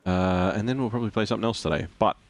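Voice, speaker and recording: A man with a croaky, flu-hoarse voice chatting casually while streaming a game and greeting viewers. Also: fairly low pitch, racing. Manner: rambling, reads out chat and greets viewers. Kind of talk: casual gameplay stream chat.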